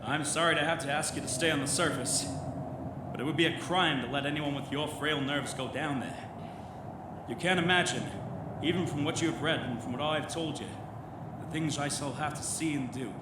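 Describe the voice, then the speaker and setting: A man's voice: on the high side, normal speed, very noisy, lightly acted. A man playing a headstrong, intense occult explorer in an old-time radio horror drama, commanding and theatrical. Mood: confident, condescending. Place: theatre stage in live performance. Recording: roomy live stage, loud music underneath. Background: loud music.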